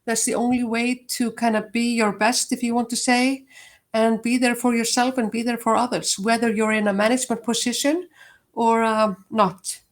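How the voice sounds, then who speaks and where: A woman's voice: a little high, level tone, moderate pace. An older woman from Iceland with an Icelandic accent, answering interview questions in a reflective, casual way, laughing often. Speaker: guest, HR leader.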